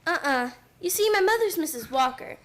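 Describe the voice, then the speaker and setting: A woman's voice: very high-pitched, lively intonation, moderate pace, a bit bright. A female performer playing a precocious, sensible little girl in a radio play, polite and matter-of-fact.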